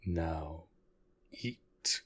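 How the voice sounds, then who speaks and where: An actor playing a courtly, aloof but caring vampire master in an intimate roleplay audio drama, speaking formally with soft condescension. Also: male voice, very deep voice, husky, exaggerated voice. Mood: aloof concern. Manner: formal, measured, softly condescending.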